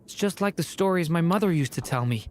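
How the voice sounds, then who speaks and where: Gentle dragonborn youth, voiced by a woman. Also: fairly low pitch, expressive, fast talker, slight background noise, close to an everyday voice. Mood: wistful. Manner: soft, earnest, a little sad. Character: gentle young dragonborn boy, wistful. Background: faint game music.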